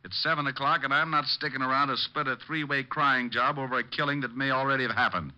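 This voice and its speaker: An actor playing a wisecracking, world-weary private detective with a sardonic, punchy delivery in an old radio drama style. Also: male voice, mid-range voice, steady tone, fast talker, bright, forceful tone, dull audio. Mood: exasperated, sarcastic. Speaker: lead actor and narrator. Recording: vintage radio recording with faint music.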